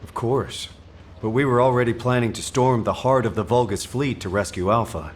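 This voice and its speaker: An actor playing a decisive military commander in a video game, firm, authoritative and matter-of-fact. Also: male voice, mid-range voice, normal speed, a little hiss or hum, lightly acted. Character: decisive male military commander briefing his team. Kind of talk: scripted game dialogue. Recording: faint game ambience behind voice.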